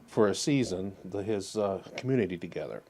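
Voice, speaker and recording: A man's voice: deep voice, expressive, brisk, a little mellow. A man co-hosting a podcast, telling a rambling story with hesitations. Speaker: podcast co-host. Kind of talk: casual chat.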